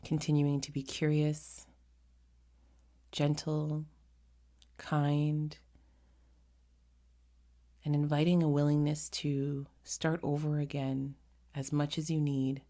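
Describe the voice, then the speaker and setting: A woman leading a guided meditation in a gentle, unhurried manner, pausing often between short phrases. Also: low-pitched, completely flat delivery.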